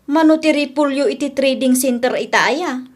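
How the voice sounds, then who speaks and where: A woman's voice: high voice, moderate pace, close to an everyday voice. A woman playing a chatty, nosy village woman in an Ilocano radio drama, with an Ilocano Filipino accent and an inquisitive manner. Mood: curious, friendly.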